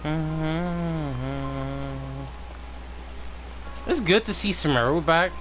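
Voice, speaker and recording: A man's voice: on the high side, very slow, over music. A man giving casual, rambling live gameplay commentary, swearing and prone to giggling. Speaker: game streamer.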